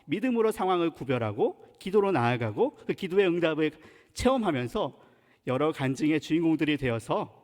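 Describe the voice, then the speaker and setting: A man's voice: on the high side, very animated, fairly quick, a little mellow. A male pastor from Chungcheong with a Chungcheong Korean accent, preaching a sermon in an earnest, emphatic manner. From Chungcheong, South Korea. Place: church sanctuary. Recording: long reverb in church sanctuary.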